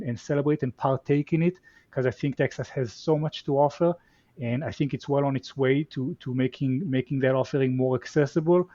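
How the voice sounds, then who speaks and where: A man with an Israeli accent talks in a reflective, halting way, often doubling his words. His voice sits at an ordinary pitch. The recording is dull and lo-fi, with a dry, close sound. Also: a little mellow, no echo. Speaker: venture capital guest. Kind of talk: casual conversational interview.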